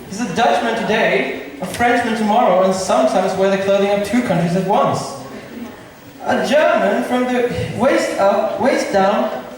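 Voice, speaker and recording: A man's voice: sits high, a little slow. A male student drama club performer playing a teasing, jovial prince on stage. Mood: mocking. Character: teasing, jovial prince among his friends. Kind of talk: amateur stage acting. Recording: very echoey hall, distant mic, faint hiss.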